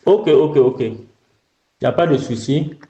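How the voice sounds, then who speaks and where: An echoey, slightly dull phone recording of a man with a West African French accent. He speaks at a middle pitch in private conversation. His delivery is hesitant, with words repeated as he goes. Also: unhurried, dark tone. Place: indoors, in a bedroom on a phone call.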